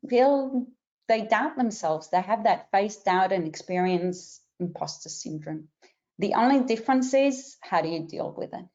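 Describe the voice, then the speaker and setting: A woman's voice: medium pitch, dark tone, dull audio. Middle-aged woman hosting a webinar, mixed accent. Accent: mixed Israeli, Australian and American. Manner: clear and instructive, with some filler pauses. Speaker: webinar host and career coach. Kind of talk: webinar introduction.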